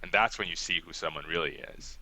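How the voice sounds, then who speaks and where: A young man from Ghana speaks in a very low voice with a sharp, bright edge. He talks loosely and easily, with lots of fillers. The sound is dry and close. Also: fast, no room sound, low-quality recording. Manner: relaxed and chatty, laughs often, many "like" fillers. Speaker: guest. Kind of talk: casual interview talk.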